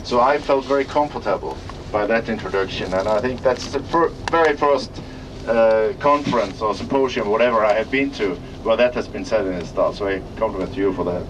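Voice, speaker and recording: Low Norwegian-accented man, distant outdoors. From Norway. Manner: halting, searching for English words. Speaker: tour guide at an industrial plant. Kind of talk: guided tour commentary. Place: outdoors at an industrial site. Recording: distant voice under loud outdoor traffic noise.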